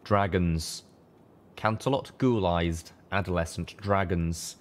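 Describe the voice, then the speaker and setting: A man's voice: deep voice, fairly even intonation, slow. A man reading a scripted first-person fantasy story aloud as narrator. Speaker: audiobook reader.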